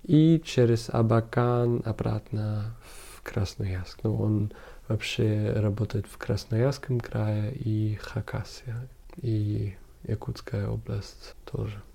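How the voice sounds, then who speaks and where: Slow, low-voiced man with a foreign accent. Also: steady tone, gravelly, warm tone. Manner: halting, searching for words. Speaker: interview guest, documentary photographer. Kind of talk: conversational interview.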